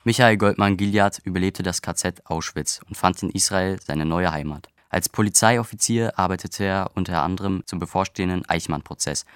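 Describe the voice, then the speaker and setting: A man with a very low, smooth and resonant voice reads a report steadily and seriously. The sound is dry and close. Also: fairly quick, few pauses, a bit bright, dry recording. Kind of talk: read report.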